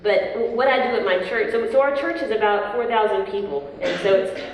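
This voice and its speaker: A young woman with a Southern American accent, a worship leader chatting casually in an interview, self-deprecating and quick to laugh. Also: mid-range voice. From southern United States. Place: large hall at a worship event. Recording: echoey hall, voice from far off, faint noise.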